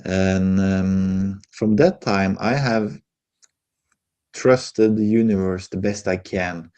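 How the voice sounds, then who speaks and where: A middle-aged man with a Norwegian accent talks in a deep, mellow voice. He speaks reflectively and haltingly, often restarting his sentences. His manner is gentle and soft-spoken. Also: lo-fi sound. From Norway. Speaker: guest, healer. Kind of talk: reflective conversational interview.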